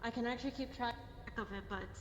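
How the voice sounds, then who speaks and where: A woman's voice: fairly high pitch, quick pace. A woman playing an online roleplay game, talking casually in character and often repeating short phrases. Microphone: home microphone in online voice chat. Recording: roomy echo, noisy background.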